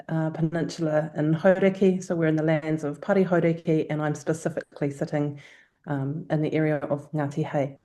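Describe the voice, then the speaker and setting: A woman community campaigner introducing herself on a webinar in a composed, measured way. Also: sits low, dark tone.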